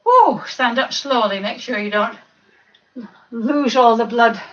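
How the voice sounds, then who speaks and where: A woman over fifty with a croaky voice, leading a seated exercise class, counting reps and adding chatty asides. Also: a little high, takes it slowly, fairly bright tone. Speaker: fitness instructor. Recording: distant mic, dull lo-fi sound.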